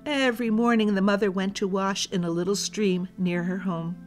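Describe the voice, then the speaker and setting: A woman's voice: mid-range voice, steady tone, normal speed, a little mellow. Woman reading a storybook over music. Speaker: storyteller, children's read-aloud host. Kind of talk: scripted storybook narration.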